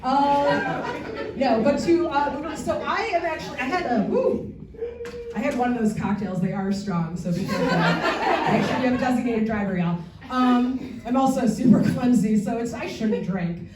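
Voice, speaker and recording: A woman's voice: a little high, normal speed, distant mic, very noisy. Bawdy woman comic in an echoey room. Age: 40s. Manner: deadpan bawdy punchlines, chatty asides with filler ums. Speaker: stand-up comedian. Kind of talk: stand-up comedy set. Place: comedy theatre stage before a live audience.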